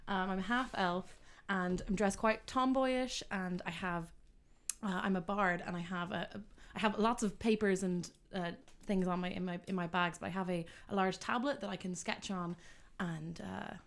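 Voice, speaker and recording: A woman, a player introducing her character in a halting, self-deprecating way. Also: medium pitch, steady tone. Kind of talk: casual chat.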